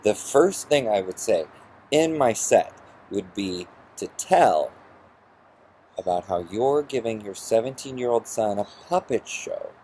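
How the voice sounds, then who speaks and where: A man with a husky voice ranting through a personal story in an exasperated, sarcastic, profane way. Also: lowish voice, expressive, measured pace, warm tone, a little hiss or hum, low-quality recording. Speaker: vlogger.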